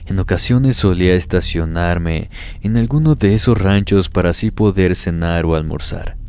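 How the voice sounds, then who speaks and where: Deep-voiced man narrating horror stories. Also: mellow. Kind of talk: scripted first-person storytelling. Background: faint background music.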